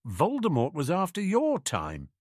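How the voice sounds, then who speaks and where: A man's voice: a little high, huge pitch swings, unhurried, no echo, studio quality, pitched above the performer's own voice, full character voice. A man voicing an earnest, loyal schoolboy wizard who is easily startled. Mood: puzzled.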